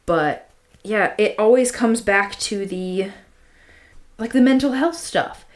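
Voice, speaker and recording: A woman's voice: mid-range voice, slow pace. Casual, emphatic woman podcaster. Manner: informal, slangy, emphatic repetition. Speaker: podcast co-host. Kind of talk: casual chat, storytelling.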